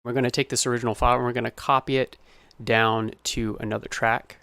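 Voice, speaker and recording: A man's voice: medium pitch, flat delivery, quick pace, vocal fry, pushed and bright, clear, resonant tone, dry recording. A man giving an informal tutorial as a sound designer, explaining his process step by step. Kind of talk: informal screen-recorded tutorial narration.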